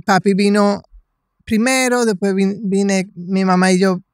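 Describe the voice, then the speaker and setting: A woman's voice: mid-range voice, fairly even intonation, unhurried, smooth, no echo. Dominican woman in her sixties, dry close sound. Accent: Dominican Spanish. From Dominican Republic. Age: 60s. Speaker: podcast guest, writer and cultural promoter. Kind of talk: casual conversational storytelling.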